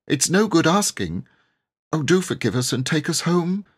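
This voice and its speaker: A man's voice: a little high, sing-song, moderate pace, husky, pitched above the performer's own voice. A man voicing an earnest, loyal little girl who implores simply and urgently in a children's story. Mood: imploring.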